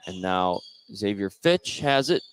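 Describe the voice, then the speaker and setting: A man calling live play-by-play of a high school basketball game for radio. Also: sing-song, unhurried, heavy background noise. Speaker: play-by-play commentator. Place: courtside at an indoor basketball game.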